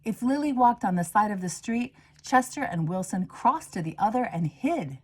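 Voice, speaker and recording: A woman reading a children's storybook aloud in an expressive way, moving between hushed asides and emphatic swells. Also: mid-range voice, moderate pace, breathy edge. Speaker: reader, minister.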